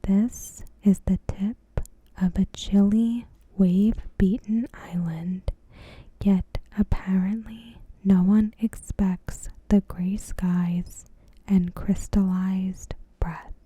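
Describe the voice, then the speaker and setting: A woman softly whispering in an ASMR style, reading out a recap with measured pauses and a breathy voice. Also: mid-range voice, fairly even intonation, no echo. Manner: soft-spoken, slow, measured, pausing mid-sentence. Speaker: ASMR video creator.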